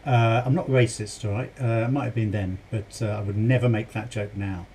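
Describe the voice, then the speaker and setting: A man's voice: fairly low pitch, fairly even intonation, a little fast, a little mellow, a little hiss or hum. A British man hosting a reaction video, speaking casually and hesitantly with many fillers. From Britain. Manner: hesitant, lots of uh and um.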